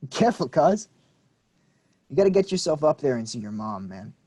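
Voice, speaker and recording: An actor reading a quick-tempered young man, combative and swearing in a staged play reading. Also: fairly high pitch, big pitch swings, warm tone, slightly rough.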